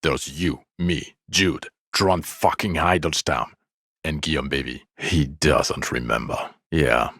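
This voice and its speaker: A male actor playing a bitter, exasperated, foul-mouthed police detective in a video game, scolding with weary sarcasm and contempt in a gravelly voice. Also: very deep voice, takes it slowly, fairly bright tone, dry recording, studio quality, full character voice. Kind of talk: video game character dialogue.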